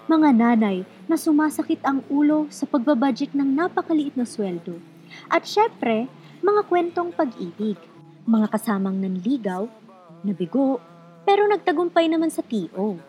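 High-pitched woman hosting radio. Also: mellow. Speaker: radio program host. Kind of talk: scripted radio show hosting, addressing listeners directly.

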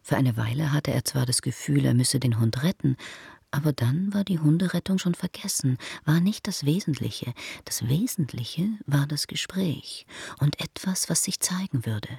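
A woman narrating a literary radio play in a measured, hushed storytelling manner. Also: deep voice, gravelly, breathy edge, no room sound, clean studio sound.

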